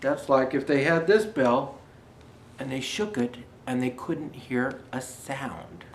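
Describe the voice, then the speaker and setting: A man's voice: medium pitch, slow pace. A man reading a children's Christmas story aloud expressively as a storyteller, adding casual asides. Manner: expressive, raises voice for quoted speech. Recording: roomy echo, faint background noise.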